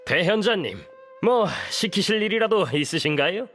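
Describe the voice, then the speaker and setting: A man's voice: sits high, expressive, moderate pace, fairly bright tone, soft background music. An actor playing a timid, apologetic male underling scholar in a game, deferential and hesitant. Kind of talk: dramatic Korean game voice acting.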